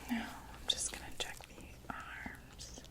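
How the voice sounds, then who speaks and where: A woman playing a gentle vampire doctor in a whispered ASMR medical roleplay, reassuring and a little hesitant. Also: takes it slowly. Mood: calm, reassuring. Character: gentle female vampire doctor examining a patient.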